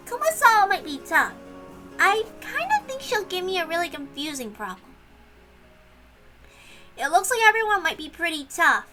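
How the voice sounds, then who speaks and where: A woman voicing an eager, confident little girl in a visual novel read-aloud, earnest and excitable. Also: very high voice, normal speed, long pauses, loud music behind the voice, pitched above the performer's own voice.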